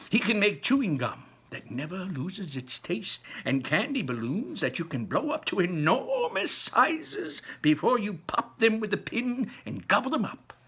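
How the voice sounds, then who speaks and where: Man playing an excitable old storybook grandfather, swooping high. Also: vocal fry, husky, heavily acted. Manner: emphatic, savoring slow delivery.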